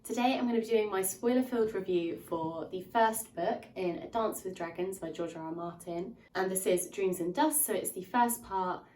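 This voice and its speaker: A woman's voice: mid-range voice, normal speed, few pauses, a little mellow, echoey room, recorded from a distance, slight background noise, low-quality recording. A woman reviewing a book to her viewers in a chatty way, sounding slightly nasal and stuffed up from a lingering cold. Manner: chatty, recaps plots with frequent "um" and "kind of". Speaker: book reviewer and channel host. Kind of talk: casual spoiler-filled book review to camera.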